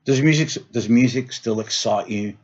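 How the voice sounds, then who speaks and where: A man's voice: mid-range voice, moderate pace, darkish tone. A man hosting a podcast interview, eagerly agreeing and asking questions. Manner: eager, agreeing, many backchannel yeahs. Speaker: podcast host. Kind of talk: casual interview chat. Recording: dull lo-fi recording.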